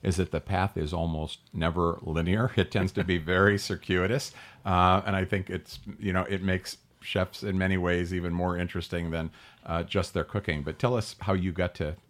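A man with a low, deep voice hosts a talk show interview. He speaks in a relaxed, conversational way, often pausing with fillers and restarting sentences as he sets up his questions.

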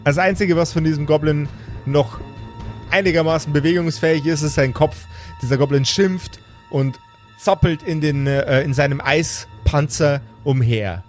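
A man improvising tabletop game narration as game master, with halting, deliberate phrasing. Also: fairly high pitch, soft background music.

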